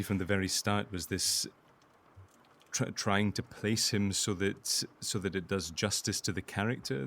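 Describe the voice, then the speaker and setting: A man's voice: low-pitched, fairly bright tone, slight background noise. A man speaking seriously and thoughtfully as a voice actor being interviewed.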